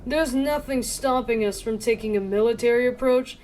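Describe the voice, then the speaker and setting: A woman playing a skeptical, hard-nosed army colonel in a dramatic fan dub, deliberate and condescending. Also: a little high, a little mellow, slight background noise, close to an everyday voice. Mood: skeptical, disdainful. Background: background music.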